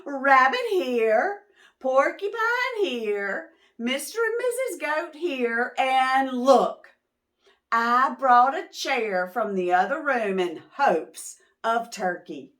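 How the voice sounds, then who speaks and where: Gracious lady moose, acted by a woman: high, slow, happy and welcoming, for a picture-book read-aloud. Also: sing-song, fairly bright tone, heavily acted. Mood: hospitable. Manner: gushing, welcoming.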